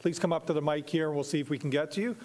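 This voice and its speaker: A man's voice: on the high side, rapid-fire, a little mellow. A man moderating a public event informally, with a polite, businesslike manner.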